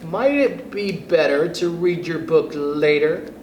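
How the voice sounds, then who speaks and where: Male children's storytime reader, higher-pitched and very animated, addressing kids directly, recorded in a roomy space. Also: takes it slowly. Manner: expressive, addresses young listeners directly. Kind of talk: picture book read-aloud for children. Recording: roomy echo with faint background noise.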